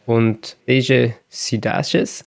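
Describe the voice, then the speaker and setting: A man hosting a podcast, telling travel stories in a relaxed, chatty way with small hesitations. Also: mid-range voice, very slow, gravelly. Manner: relaxed storytelling with occasional laughs and hesitations. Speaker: podcast host. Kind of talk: casual podcast chat.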